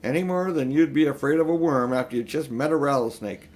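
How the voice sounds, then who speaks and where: An older man narrating a children's storybook in an expressive, emphatic storytelling manner. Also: a little high, fairly quick. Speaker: audiobook reader.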